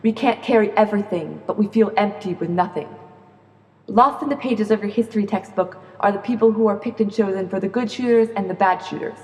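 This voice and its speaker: A woman performing spoken-word slam poetry in a rhythmic, earnest, deliberate way. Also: medium pitch, darkish tone, lo-fi sound. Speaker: slam poet. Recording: echoey reverberant room.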